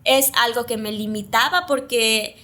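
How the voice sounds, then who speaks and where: Woman, young adult, Maya speaker, hesitant, reflective, fairly high pitch. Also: a little slow, sharp tone. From Mayapán, Yucatán, Mexico. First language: Yucatec Maya. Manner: reflective, hesitant, many fillers. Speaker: guest, communicator. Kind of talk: casual interview conversation.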